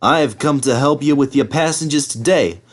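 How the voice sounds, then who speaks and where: A man's voice: mid-range voice, moderate pace, pitched above the performer's own voice, close to an everyday voice. A man voicing a friendly, confident, teasing male bus in a storybook reading.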